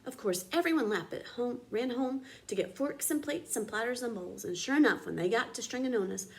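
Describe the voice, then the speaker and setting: A woman reading a children's storybook aloud in an expressive, dramatic teacher's manner. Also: on the high side, a little fast.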